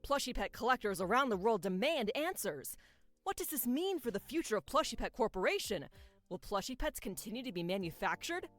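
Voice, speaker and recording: A woman playing a brisk, dramatic TV news anchor in a scripted game newscast. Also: on the high side, big pitch swings, faint music behind.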